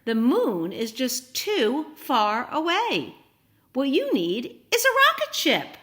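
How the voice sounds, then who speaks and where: A woman's voice: high voice, wildly swooping pitch, slow pace, fairly bright tone, heavily acted. A woman voicing a small, friendly, practical bird in a storybook, with a matter-of-fact manner. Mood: advising.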